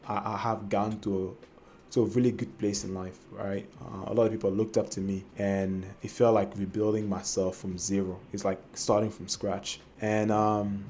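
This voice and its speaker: A man's voice: on the low side, level tone, moderate pace, a little mellow, slight background noise. A young man from Cameroon telling his personal trading story to camera, hesitant and full of fillers and restarts. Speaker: trading vlogger.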